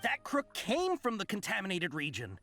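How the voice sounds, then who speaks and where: A flustered, eager-to-please caravan merchant in a video game. The voice sits fairly high and swings dramatically in pitch, with hesitant turns. Music plays clearly behind it. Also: male voice, huge pitch swings, normal speed, pushed and bright, heavily acted. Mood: flustered, incredulous.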